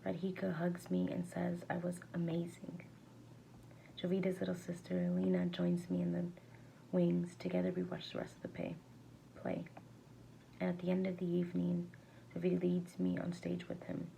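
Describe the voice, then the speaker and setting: A woman reading a verse novel aloud as an amateur, with a creaky, gravelly voice and a halting delivery that sometimes stumbles and rereads words. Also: on the low side, completely flat delivery, warm tone, low-quality recording. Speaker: amateur reader. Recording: faint background noise.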